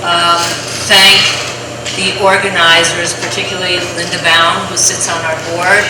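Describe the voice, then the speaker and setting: A woman hosting a public seminar, giving measured opening remarks with frequent ums, recorded from a distance in a large school hall. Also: lowish voice, little pitch movement, background noise. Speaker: foundation president welcoming a seminar. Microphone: distant room mic. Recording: echoey hall from far off.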